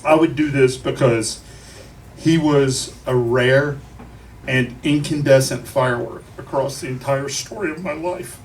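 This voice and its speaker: A grieving man delivers an emotional eulogy to a crowd, speaking deliberately, with profanity and wry humor. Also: medium pitch. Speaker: eulogist at a memorial. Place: crowded bar at a memorial. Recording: noisy live room, distant mic.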